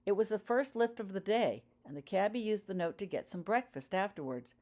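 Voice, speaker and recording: A woman's voice: medium pitch, expressive, a little fast, darkish tone, pitched above the performer's own voice, lightly acted. A woman voicing a hesitant, whispery informant giving clipped, formal reports by phone in an audiobook story. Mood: businesslike.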